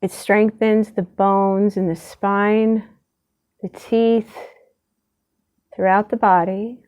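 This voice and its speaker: A woman leading a gentle guided meditation in a soothing, unhurried way, leaving long pauses between short phrases. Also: medium pitch, flat delivery.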